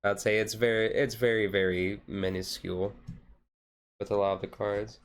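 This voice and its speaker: A man's voice: fairly low pitch, level tone, normal speed. A man streaming casually, rambling in a laid-back, hesitant way as he chats with viewers. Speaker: live streamer. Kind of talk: casual chat while doing a card-game activity.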